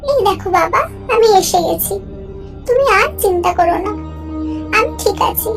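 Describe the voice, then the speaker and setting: A woman voicing a hungry young child in a cartoon, reassuring a worried father in a high, creaky voice. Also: animated, moderate pace. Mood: plaintive, reassuring. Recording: music behind the voice.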